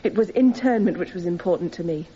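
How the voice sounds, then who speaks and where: A woman's voice: lowish voice, quick pace, mellow, lo-fi sound, slight background noise, natural voice. A woman playing an earnest, defiant young defendant giving impassioned, emphatic testimony in a radio courtroom drama. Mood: insistent.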